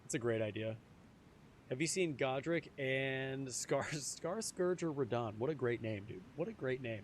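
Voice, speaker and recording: Slightly hoarse male streamer. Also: mid-range voice, expressive, unhurried, slight background noise. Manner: chatty, answers chat, repeats phrases. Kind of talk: casual live-stream chat while gaming.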